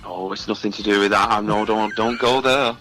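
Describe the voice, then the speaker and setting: A man's voice: fairly low pitch, steady tone, a little fast, over music, low-quality recording. A man in his forties chatting casually as a musician guest in an interview. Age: 40s. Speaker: musician guest, singer-songwriter.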